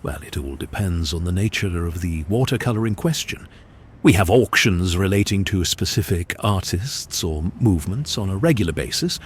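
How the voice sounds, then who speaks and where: An actor playing a polite, formal auction house employee in an audio drama, courteous and patient. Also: male voice, low voice, animated, moderate pace, husky.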